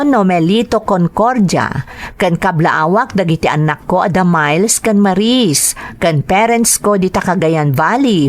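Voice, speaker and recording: A woman hosting a story podcast, reading greetings and listener letters aloud. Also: medium pitch. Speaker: podcast host and story reader.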